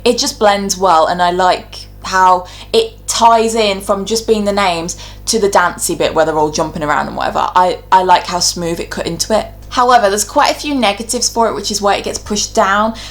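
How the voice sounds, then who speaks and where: A woman's voice: mid-range voice, normal speed, few pauses, soft background music. A woman hosting a YouTube video, giving casual, opinionated fan commentary in an informal, chatty way. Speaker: YouTube host.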